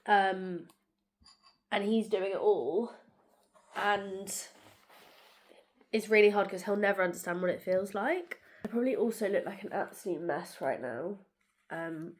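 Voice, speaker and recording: A woman's voice: mid-range voice, a little slow, pauses often. Tired congested woman vlogging. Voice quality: nasal, bunged up. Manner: soft, tired, rambling with many fillers. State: congested, sore throat. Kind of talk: casual vlog. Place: at home.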